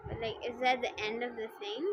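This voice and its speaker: A woman's voice: a little high, level tone, a little fast, lo-fi sound, noisy background. A woman livestreaming a game with casual reaction chat and playful, exasperated griping.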